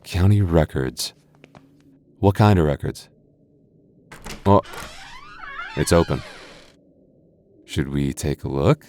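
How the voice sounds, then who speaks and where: A man's voice: extremely low pitch, takes it slowly, pauses often, dark tone, slight background noise. A man voicing a boyfriend in an ASMR roleplay, stammering through restarts and teasing playfully.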